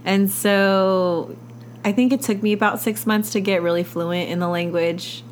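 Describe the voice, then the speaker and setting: A woman's voice: medium pitch, steady tone, measured pace, a little hiss or hum. Chatty, laughing woman podcast guest. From California, USA. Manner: chatty storyteller, many "like" fillers, frequent laughter. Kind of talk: casual conversational interview.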